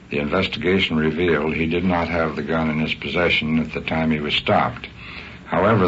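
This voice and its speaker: A muffled vintage radio recording with some hiss-like noise carries an American police chief. He is a very deep-voiced man reading formally and stiffly from a script. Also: a bit bright. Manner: formal, stiff official wording. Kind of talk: formal scripted address, read aloud. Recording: muffled, faintly noisy 1950s radio broadcast.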